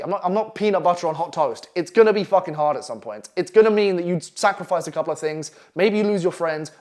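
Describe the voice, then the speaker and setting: Brash, fast-talking Essex man. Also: on the high side. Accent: Essex English. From England. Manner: brash, profane, pushy. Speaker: copywriting coach. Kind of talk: online masterclass presentation. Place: London flat.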